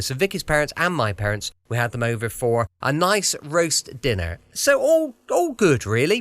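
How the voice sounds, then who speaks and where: Chatty podcast host. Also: male voice, mid-range voice, a bit bright, no room sound. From southwest England. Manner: chatty, rambling, friendly. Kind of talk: casual chat. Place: home studio.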